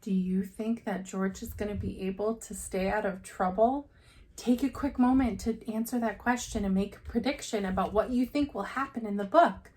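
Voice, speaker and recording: A woman teacher reading a picture book aloud to young children in a deliberate, expressive storytelling way. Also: mid-range voice.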